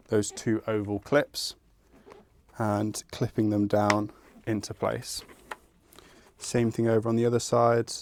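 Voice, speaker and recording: A man presenting an installation tutorial, explaining each step in a methodical, informal way. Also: medium pitch. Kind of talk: instructional step-by-step tutorial narration.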